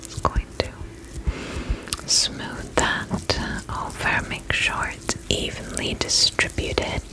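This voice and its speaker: A woman whispering in an ASMR role-play as a spa attendant, gentle, soothing and reassuring, speaking in short phrases with pauses. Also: rough recording. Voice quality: unvoiced whisper.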